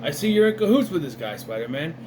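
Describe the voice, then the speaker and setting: A man's voice: fairly high pitch, big pitch swings, quick pace, a little mellow, slight background noise. A man putting on the voice of a suspicious, blustering male police officer who barks accusations. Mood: accusing.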